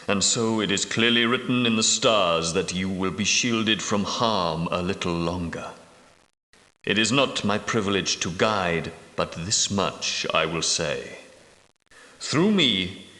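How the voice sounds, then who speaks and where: A solemn leader of holy knights for a video game. He speaks low and slowly, in grave, archaic, portentous phrases like a preacher. The voice rings with long reverb, as in a great echoing hall. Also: sharp tone, full character voice. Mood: stern warning. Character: solemn, pious leader of ancient Grail knights. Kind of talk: scripted video game dialogue, archaic formal speech.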